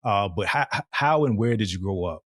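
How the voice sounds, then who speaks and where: A man's voice: fairly low pitch, lively intonation, a little fast, no room sound, studio quality. A man hosting a podcast interview, prompting his guest with frequent affirmations and rephrased questions. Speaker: podcast host. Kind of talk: casual interview.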